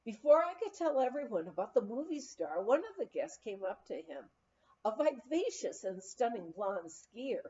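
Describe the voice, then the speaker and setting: A woman reading a memoir aloud in an expressive storytelling style. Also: a little high, moderate pace, muffled recording. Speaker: audiobook reader.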